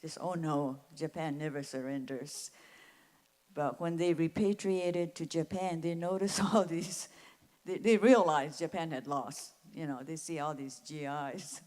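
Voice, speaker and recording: Older woman from the States, eighties, very slow reflective delivery, roomy hall reverb. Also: deep voice, fairly even intonation. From United States. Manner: slow and reflective, with soft laughs. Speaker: Japanese internment survivor speaker. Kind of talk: personal storytelling at a school event. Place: school event hall. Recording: echoey school hall.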